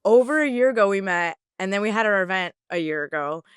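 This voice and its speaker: A woman's voice: mid-range voice, animated, fast talker, clean tone, no echo, clean studio sound. A woman hosting a podcast, talking in a bubbly, upbeat way with lots of "like". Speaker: podcast host. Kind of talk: casual interview chat.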